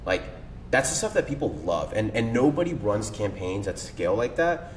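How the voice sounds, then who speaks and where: A man's voice: big pitch swings, normal speed. A man talking casually as a podcast guest, enthusiastic and slangy, with lots of fillers. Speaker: cold email agency owner, guest. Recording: echoey room, distant mic, faint rumble.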